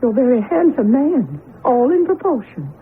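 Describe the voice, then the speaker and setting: A woman's voice: fairly high pitch, big pitch swings, normal speed. Tender, lilting older farm mother. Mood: tender, wistful. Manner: tender, wistful, gently fussing. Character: loving older farm wife and proud mother. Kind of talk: old-time radio drama acting. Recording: tinny, hissy radio drama recording.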